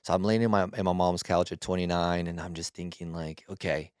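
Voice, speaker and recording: Deep, smooth-voiced man in his twenties. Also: dry recording, studio quality. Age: 20s. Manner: relaxed, halting, with frequent restarts. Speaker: podcast guest. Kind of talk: casual storytelling.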